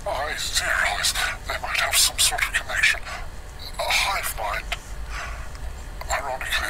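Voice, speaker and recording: An actor playing a grim scientist survivor, narrating research logs for a game in a hushed, breathy, measured and dramatic way. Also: male voice, medium pitch, heavy background noise. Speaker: narrator of in-game cassette recordings.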